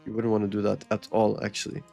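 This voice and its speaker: A man's voice: fairly low pitch, completely flat delivery, fast, gravelly, mellow, faint music behind. A man hosting a live trading stream, explaining charts and answering chat in a casual coaching way, often checking in with "okay?". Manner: reads viewer comments aloud and answers them. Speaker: livestream trading host.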